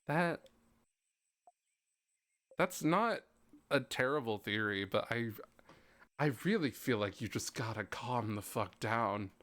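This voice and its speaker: A man voicing a guarded, star-like male guide who hesitates and stammers, speaking lower and softer. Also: expressive, slow pace, long pauses. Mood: dismissive. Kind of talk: fan voice acting of game dialogue.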